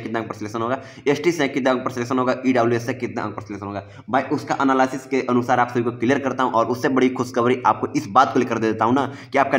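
A man giving an informal explainer, reassuring and repetitive as he addresses viewers directly. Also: mid-range voice. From India. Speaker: exam-prep video presenter.

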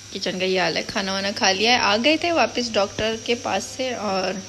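A woman's voice: a little high, fairly bright tone. A woman in her thirties with a Pakistani accent, chatting casually to her phone as a vlogger and mixing in English words. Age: 30s. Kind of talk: casual vlog chat to camera. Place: clinic lobby, optical shop and home kitchen. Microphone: phone at arm's length. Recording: noisy phone audio with street and room noise.

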